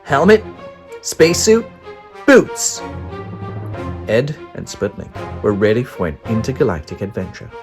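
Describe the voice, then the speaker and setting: Slow, animated storybook narrator, male. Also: medium pitch, soft background music. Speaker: children's book reader. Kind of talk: read-aloud storytelling.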